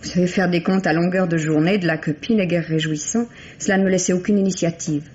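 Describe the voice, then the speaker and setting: Actress as a composed young secretary, muffled recording. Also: female voice, fairly low pitch, steady tone, fast talker, a little mellow, close to an everyday voice. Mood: careful, candid. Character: composed, reserved young secretary, coolly candid witness. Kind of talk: French radio mystery play acting. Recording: muffled, noisy old radio recording.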